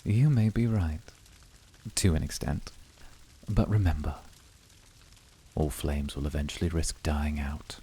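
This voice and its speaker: Casting: male actor as calm, brooding incubus, extremely deep, raspy, quietly seductive. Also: heavily acted. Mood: dry, measured. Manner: measured, quietly seductive, intimate. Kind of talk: scripted flirty ASMR roleplay.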